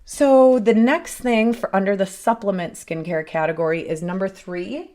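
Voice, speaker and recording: A woman in her thirties hosting a casual solo podcast, chatty and upbeat, with a raspy voice made hoarser by a cold. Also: mid-range voice, moderate pace, darkish tone. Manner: chatty, self-interrupting, upbeat. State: sick, a bit hoarse. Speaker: podcast host.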